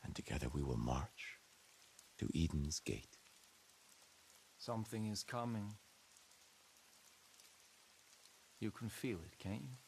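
An intense, messianic cult leader preaches to his flock in a dramatic game sermon. He builds his points through deliberate repetition and rhetorical questions. Faint rain and hiss sit behind his voice. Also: on the low side, vocal fry, full character voice. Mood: ominous, slow.

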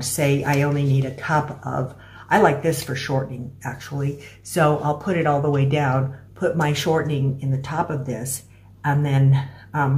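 A middle-aged American woman giving a casual, step-by-step cooking demonstration in a halting, explanatory way. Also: rumbling low, a little mellow. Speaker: home cooking instructor. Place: small room at home, indoors. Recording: clear background noise with clicks.

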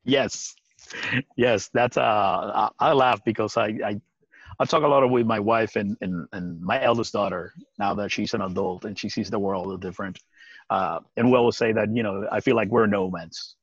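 A man in his forties from Puerto Rico, talking earnestly on a video call from outdoors, with halting restarts and frequent laughs. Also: on the low side, steady tone, husky, no room sound. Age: 40s. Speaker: guest. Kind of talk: casual video-call interview. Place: outdoors on a deck by trees. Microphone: video call webcam. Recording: dry, dull lo-fi webcam sound.

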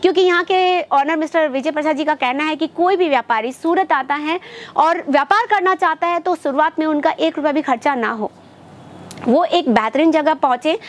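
A high-voiced young woman hosts a shopping vlog from inside a fabric-stacked shop. She talks to her viewers as friends, moving through the items with lively sales patter. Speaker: shopping vlogger presenting wholesale lehengas. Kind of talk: product showcase vlog. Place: textile shop full of stacked fabric, indoors.

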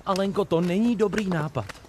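Man playing a fretful, higher-pitched faithful dog. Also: very noisy, close to an everyday voice. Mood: worried. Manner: cautioning, fretful. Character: worried, faithful male dog companion of a little girl.

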